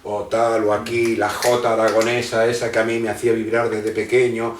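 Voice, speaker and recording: A man holds forth as a guest in a conversational interview, his voice sitting low. He rambles and explains, trailing into hesitations and restarts. The sound is roomy and picked up from a mic some distance away. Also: level tone, fairly quick, a little hiss or hum. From Argentina.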